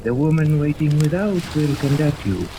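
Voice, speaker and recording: A man's voice: on the high side, expressive, moderate pace, lightly acted. A man playing a haughty, tyrannical mad scientist with a pompous, verbose, imperious manner in 1930s radio serial style. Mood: commanding. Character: haughty, tyrannical male mad scientist commanding a secret island. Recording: noisy 1930s radio recording under rain ambience.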